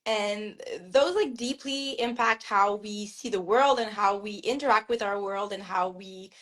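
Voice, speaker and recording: A woman's voice: mid-range voice, unhurried, a bit bright. Chatty woman with a Québécois French accent. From Montreal, Canada. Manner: chatty, many fillers and restarts. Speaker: author guest. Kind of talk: casual video-call interview. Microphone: video call.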